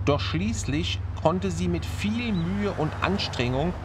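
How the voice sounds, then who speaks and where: A man narrating a scripted horror story in a measured, dramatic manner. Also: high voice, lively intonation, background noise.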